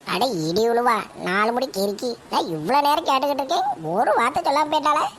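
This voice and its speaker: A nosy old grandmother with a lilt, fussing and scolding in a fairly high, light voice, as a woman puts her on. She grumbles at everyone in a homemade comedy sketch. A faint hiss sits behind her. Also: fast, close to an everyday voice. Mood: grumbling. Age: older.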